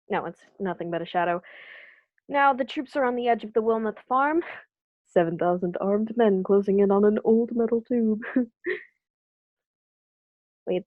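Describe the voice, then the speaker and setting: A woman's voice: mid-range voice, no room sound, lightly acted. Young woman acting a military captain, slightly dull sound. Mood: alarmed. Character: confident army captain reporting from the field. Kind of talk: radio drama live field report. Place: bedroom at home. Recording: dull, muffled home recording.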